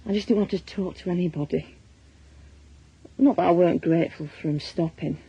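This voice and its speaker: An actress playing a weary mother confiding in a radio drama monologue, with a soft husky voice and a Northern English accent. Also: fairly low pitch, fairly quick, low-quality recording.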